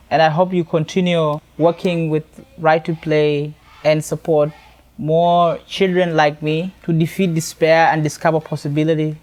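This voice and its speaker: A young man from South Sudan with a South Sudanese accent, giving a prepared personal testimony in an earnest, deliberately phrased way. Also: on the high side, darkish tone. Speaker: youth activist and charity event speaker.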